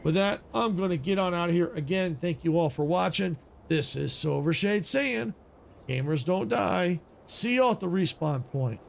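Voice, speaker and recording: A man streamer chatting casually as he wraps up his stream, rambling with many restarts, his voice getting raspy. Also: high voice, animated, moderate pace, slight background noise. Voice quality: gravelly. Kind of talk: casual stream sign-off chat.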